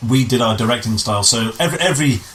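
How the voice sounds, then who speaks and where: A man's voice: medium pitch, very fast, a bit bright, a little hiss or hum, low-quality recording. A man chatting as an interview guest, repeating and rephrasing as he goes. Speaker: guest, amateur pantomime writer and director. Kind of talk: conversational radio interview.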